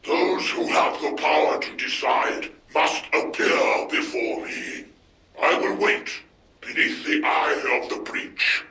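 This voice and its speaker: An actor playing a commanding alien warlord in a video game, declaiming grandly and with menace. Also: male voice, fairly high pitch, huge pitch swings, slow, vocal fry, sharp tone, heavily acted. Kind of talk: video game villain acting. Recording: echoing, reverberant broadcast.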